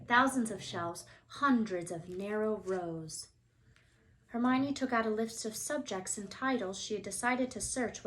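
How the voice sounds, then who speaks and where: A woman reading a children's book aloud in an expressive storytelling style. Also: medium pitch, slight background noise, rough recording.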